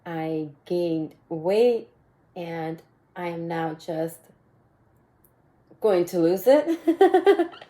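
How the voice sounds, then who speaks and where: A woman with a raspy voice hosting a casual solo podcast, upbeat and chatty, often repeating herself and laughing. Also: on the low side, animated, drawn-out words, pauses often, warm tone. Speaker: podcast host.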